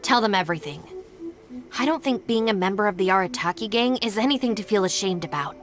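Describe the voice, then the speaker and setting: A woman playing a composed, stubborn young gang deputy in a video game, speaking in formal, measured phrases. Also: mid-range voice, animated, faint music behind, close to an everyday voice. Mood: resolute. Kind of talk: scripted video game voice acting.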